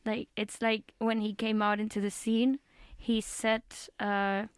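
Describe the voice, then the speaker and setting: A young woman co-hosting a radio program, her voice smooth and clear. She chats loosely, laughing often and stopping to correct herself. The studio sound is dry and close. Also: mid-range voice, flat delivery, slow, no room sound. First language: not Swedish. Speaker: radio co-host. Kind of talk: casual radio chat.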